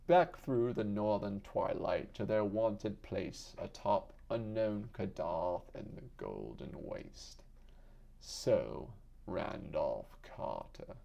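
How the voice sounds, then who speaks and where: A man giving a solemn, deliberate, theatrical reading of literary fiction as an audiobook narrator. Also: on the low side, gravelly, dark tone, lo-fi sound. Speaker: audiobook reader.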